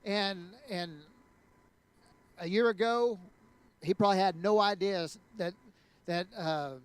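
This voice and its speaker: A man's voice: sits high, slow, clear, resonant tone, no room sound. A man hosting an event, introducing a guest in a conversational way with frequent fillers. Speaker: event host.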